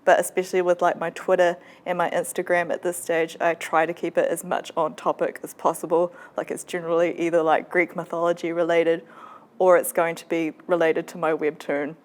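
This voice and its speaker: A woman's voice: lowish voice, flat delivery, brisk. A woman speaking casually as an interview guest about her creative work. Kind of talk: casual chat.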